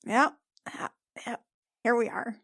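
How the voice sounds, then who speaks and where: A woman's voice: fairly high pitch, takes it slowly, vocal fry, a bit bright, no room sound, clean studio sound, full character voice. A woman playing a sarcastic, foul-mouthed reluctant wife, flustered and repeating herself, in a tabletop game. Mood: awkwardly agreeing.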